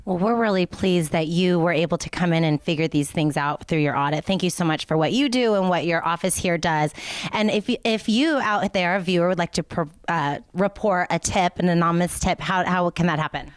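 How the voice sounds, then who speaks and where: A woman's voice: on the low side, a little fast, no room sound. An American woman hosting a local news interview in an upbeat, affirming, conversational way. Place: office.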